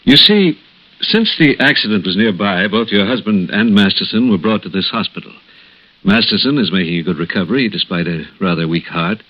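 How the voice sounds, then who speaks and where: Deep-voiced, grave American radio-drama doctor. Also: male voice, animated. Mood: grave, explaining. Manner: measured, hesitant, solemn. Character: grave, hesitant male hospital doctor breaking bad news gently. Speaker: radio actor. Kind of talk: 1960s radio drama acting. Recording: dull lo-fi vintage radio recording.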